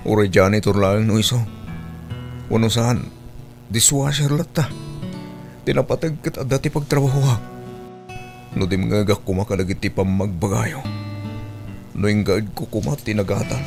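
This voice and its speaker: A man plays a penniless, regretful man in an Ilocano radio drama. His voice is lowish and pleading, with plucked guitar music clearly heard behind it. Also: normal speed, long pauses. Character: broke, regretful man begging for work, humbled.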